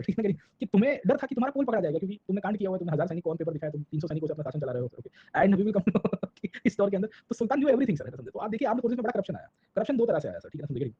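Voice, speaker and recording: A man's voice: high voice, warm tone, slightly rough. A man with an Indian accent giving an informal history lecture, switching between two languages and constantly tossing in check-in questions and tag words. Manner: mixes Hindi and English. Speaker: history teacher. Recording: dull lo-fi audio.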